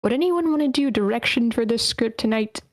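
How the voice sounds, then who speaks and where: A woman's voice: a little high, lively intonation, brisk, no echo, studio quality. A woman casually hosting an online table read and organizing the readers. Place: online meeting.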